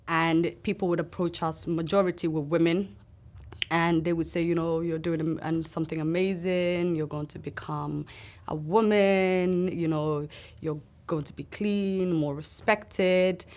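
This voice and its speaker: A woman from Somalia gives personal testimony in a sit-down interview. Her voice sits fairly low. She talks in an earnest, halting way, pausing as she recalls things. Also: steady tone, darkish tone. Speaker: interview guest.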